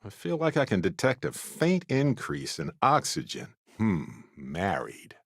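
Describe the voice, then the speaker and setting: Low-voiced, wry space explorer companion. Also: male voice, huge pitch swings, measured pace, gravelly, breathy edge, dry recording, studio quality, exaggerated voice. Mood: musing, playful. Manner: wry, affable, theatrical, given to teasing. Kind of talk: video game companion voice acting.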